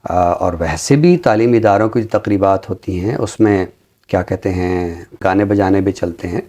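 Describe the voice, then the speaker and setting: A middle-aged Pakistani man speaking in a formal, deliberate way, as a literary commentator on a talk show. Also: sits low, warm tone. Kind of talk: reflective commentary and poetry recitation on a literary show.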